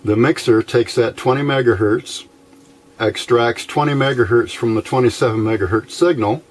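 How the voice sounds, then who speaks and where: An older American man giving an informal technical explanation, unhurried and folksy, pausing often between short phrases. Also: mid-range voice. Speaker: hobbyist radio builder, presenter.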